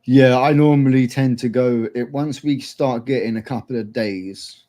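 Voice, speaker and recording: A man co-hosting a casual chat, relaxed and rambling as he explains things step by step. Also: medium pitch, normal speed. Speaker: co-host.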